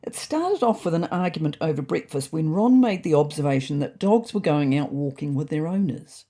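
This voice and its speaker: A woman's voice: low-pitched, animated, normal speed, mellow. A woman storyteller reading a scripted comic first-person story in a dry, mock-serious manner.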